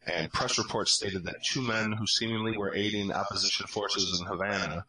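A man's voice: lowish voice, fairly even intonation, normal speed, bright, forceful tone, poor audio. A man hosting a podcast discussion in an analytical, explanatory way, going through documents. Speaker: podcast host.

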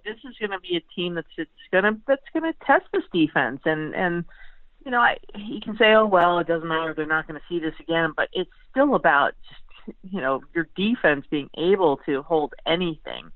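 Over a narrow, tinny phone connection, a man with a fairly high voice gives casual sports commentary as a guest writer. He thinks aloud in a conversational way, and the sound is dry. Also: lively intonation, moderate pace, no room sound.

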